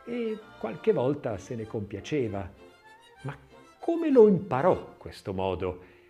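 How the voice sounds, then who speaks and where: A man reading a literary text as narrator, expressively and theatrically, with measured phrasing and varied emphasis. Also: fairly low pitch, mellow.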